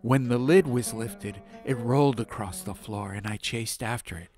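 A man reading an atmospheric fantasy audiobook in a measured, dramatic way. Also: medium pitch, a little mellow. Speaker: audiobook narrator. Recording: music behind.

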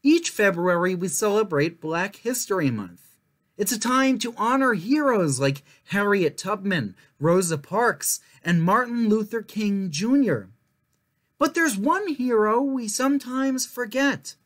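A young man reading a children's picture book aloud as a storyteller, in measured, clearly phrased narration. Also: high-pitched, expressive, a bit bright. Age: young adult. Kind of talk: scripted narration, story time read-aloud.

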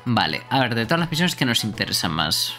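A male game streamer talks in a casual live chat style. His voice is medium in pitch, with game music behind it. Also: very animated, a little fast, pushed and bright.

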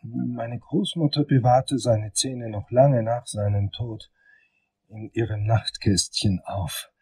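An actor playing a hesitant, smitten dental patient in a crime radio drama, speaking softly and haltingly, a little away from the mic. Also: male voice, mid-range voice, steady tone, far from the mic, a little hiss or hum, rough recording. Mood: wistful. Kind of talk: German crime radio play acting.

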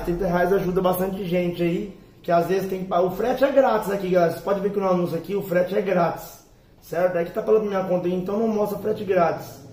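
A hoarse young man with a São Paulo interior accent, talking loosely and repeating fillers like "ó" to his viewers. His voice sits fairly high, and it bounces around a hard room. The camera mic is set well back. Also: a little mellow. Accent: Brazilian Portuguese, São Paulo interior. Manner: chatty, repeats "ó" and "galera" as fillers. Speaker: motorcycle parts shop owner. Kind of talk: casual vlog to viewers. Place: small shop with shelves of parts, indoors. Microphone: far camera. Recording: echoey room, mic at a distance.

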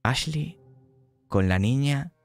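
A man narrating a tabletop horror game as game master, slow and dramatic as he describes scenes. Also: mid-range voice, lively intonation, vocal fry, no room sound.

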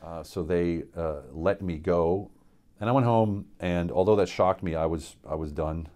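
Deep, mellow-voiced man, halting and earnest. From central Pennsylvania, USA. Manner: earnest and reflective, halting with many fillers and restarts. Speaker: military veteran interviewee. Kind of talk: unscripted personal testimony. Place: indoor studio with dark backdrop.

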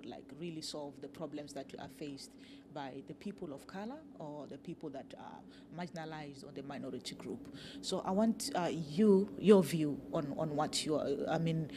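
A man interviewing a guest at an event, asking long halting questions with many fillers and restarts. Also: on the high side, background noise. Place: event venue, seated on sofa.

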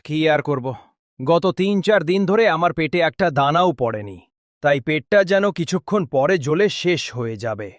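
A man voices an old, starving beggar in a cartoon tale. The voice is raised well above a usual male pitch, plaintive and weak. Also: expressive, measured pace, no echo, studio quality. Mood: weak, suffering. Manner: pleading, plaintive. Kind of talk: cartoon story acting.

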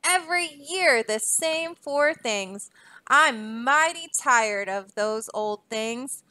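Woman doing a bellowing, bad-tempered storybook king, loud and bright. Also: fairly high pitch, expressive, slow, no echo, exaggerated voice, rough recording. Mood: indignant. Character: grumpy old king, bellowing and demanding.

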